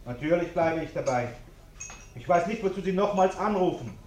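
A man's voice: a little high, lively intonation, moderate pace, a little mellow, close to an everyday voice. An actor playing a respectable, over-polite businessman who justifies himself and blusters, in a German radio play. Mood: indignant, blustering. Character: respectable bourgeois businessman, ingratiating host, pompous and irascible.

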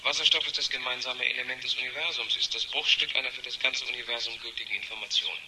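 An actor playing an excited, cocksure male scientist in a 1970 German radio drama, clipped and urgent. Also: mid-range voice, fast, natural voice. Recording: noisy, clicking radio-play phone scene.

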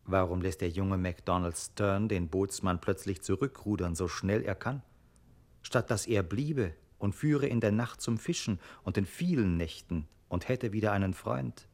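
An actor as a grave, brooding narrator of a literary radio play, speaking solemnly and posing rhetorical questions. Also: male voice, deep voice, moderate pace, a little mellow. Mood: solemn, questioning.

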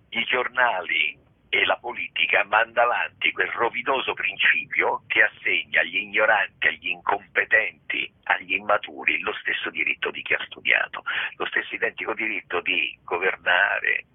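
Deep husky man on a phone line. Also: dry recording. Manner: searching for words, frequent pauses and hedges. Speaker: theatre director and actor, radio guest. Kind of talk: radio interview, explaining a play. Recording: dry, tinny phone line on radio.

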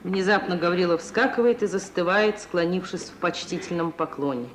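An old radio recording with faint hiss carries a narrator at a middling pitch. The delivery is measured and literary, setting the scene of a stage play like a storyteller.

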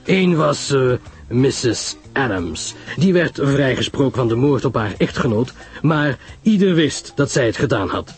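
A man plays a grave, self-assured older male detective from a radio mystery. His delivery is emphatic and measured, with widely swinging pitch. Music plays along with the voice. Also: expressive, close to an everyday voice. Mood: knowing, emphatic.

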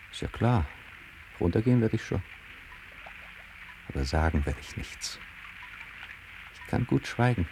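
A stubborn young dreamer in a radio drama. His voice sits low and gravelly as he broods and mutters to himself. A faint bed of noise lies behind him. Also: a little mellow, rough recording. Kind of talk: German radio play acting, inner monologue. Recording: faint background noise and ambience.

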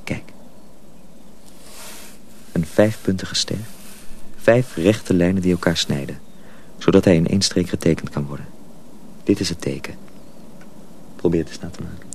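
An actor playing a grave, protective older journeyman in a Dutch radio drama, speaking in solemn, hushed tones. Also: sits low, normal speed, gravelly, pauses often, a little hiss or hum. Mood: instructing, secretive. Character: grave older journeyman mill hand, protective, sorrowful, secretive.

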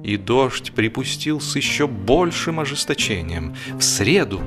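A man reading a humorous literary story aloud in an expressive, wry, theatrical storytelling manner. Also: medium pitch, measured pace, over music. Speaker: audiobook narrator and actor.

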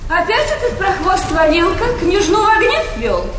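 Snobbish young noblewoman-to-be, high-pitched, shrewish and affected, period stage comedy, echoing hall with faint noise. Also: wildly swooping pitch, normal speed, full character voice. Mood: scornful. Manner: scolding, affected. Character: haughty, shrewish young ward of a princess, affecting nobility. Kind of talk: theatrical stage comedy acting.

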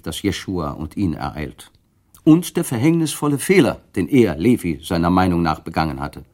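A man narrating a literary radio play, measured and expressive, rising with the drama. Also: on the low side.

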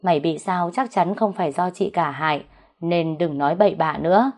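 A young gentleman of a rural household voiced by a woman narrator in an audio romance. He speaks bluntly and teasingly, in a slightly low register. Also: moderate pace. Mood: blunt, firm. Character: young man, medical student, blunt yet teasing lover.

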